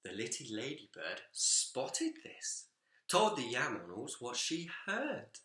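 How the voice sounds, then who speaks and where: Bloke doing story time for little kids, roomy sound, really animated pitch, expressive teacher style reading. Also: male voice, takes it slowly. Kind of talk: children's picture book read aloud. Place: indoors, seated in a reading chair. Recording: echoey room.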